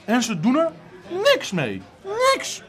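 An actor playing an excitable, sarcastic young man in a naturalistic Dutch radio drama, speaking emphatically. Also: very high-pitched, slow pace, full character voice. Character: excitable, sarcastic young man, griping about his job.

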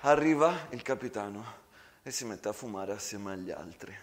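A man's voice: on the low side, expressive, moderate pace. A man, narrating a theatrical monologue as an actor.

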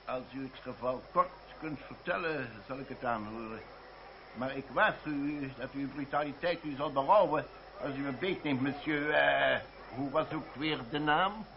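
An actor playing a haughty, irritable royal prosecutor in a radio drama, pompous, scandalised and given to exclamation. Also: male voice, mid-range voice, moderate pace, close to an everyday voice. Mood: grudging, appalled. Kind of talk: Dutch radio drama acting. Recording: muffled, noisy, humming old radio recording.

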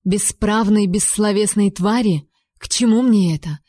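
A woman's voice: medium pitch, a little slow, mellow, no room sound, studio quality, voice pushed up, close to an everyday voice. A woman doing a fiery, defiant young heroine. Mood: indignant. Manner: heated, emphatic accusations. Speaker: heroine voiced by the narrator.

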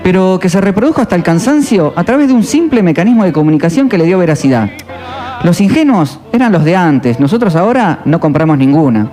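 A man narrating a short radio documentary in a measured, reflective way. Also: sits high, big pitch swings, over music.

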